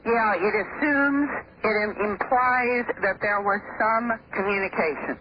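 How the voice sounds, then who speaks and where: A woman reporting over a payphone from a noisy airport booth, giving a steady, detailed account. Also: mid-range voice, measured pace. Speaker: field reporter. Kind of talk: phone-in news report. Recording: muffled phone line with airport noise.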